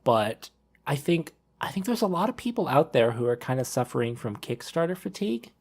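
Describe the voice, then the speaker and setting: A man's voice: mid-range voice, big pitch swings, a little fast, husky. A man co-hosting a podcast, chatting casually and giving opinions, informally rephrasing his points as he goes. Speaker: podcast co-host.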